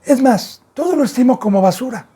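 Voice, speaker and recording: A man from Mexico preaching a fervent sermon as pastor, swinging between loud and soft and sometimes stumbling over his words. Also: very high voice, animated, brisk, breathy edge.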